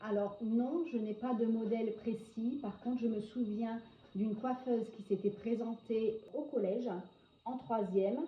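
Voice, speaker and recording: A woman in her forties answers interview questions at an even, middle pitch, often pausing on fillers before going on. The recording is roomy and echoey, with a dull, muffled tone and a faint hiss behind her. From northern France. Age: 40s. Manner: hesitant, frequent filler pauses. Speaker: hairdresser interviewee.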